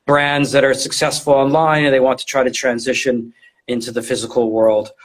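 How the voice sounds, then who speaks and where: A man's voice: medium pitch, flat delivery, a little fast. Middle-aged man explaining informally, repeating himself. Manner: informal, explanatory, repeats words while thinking. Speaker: guest, retail design and visual merchandising specialist. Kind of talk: conversational interview explanation.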